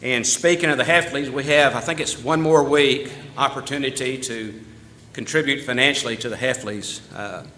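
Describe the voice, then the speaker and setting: Bright-voiced folksy man. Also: medium pitch, normal speed, hardly any pauses, slight background noise. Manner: folksy, chatty, laughs easily, with small restarts. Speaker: church member giving announcements. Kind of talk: casual church announcements. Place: church meeting room.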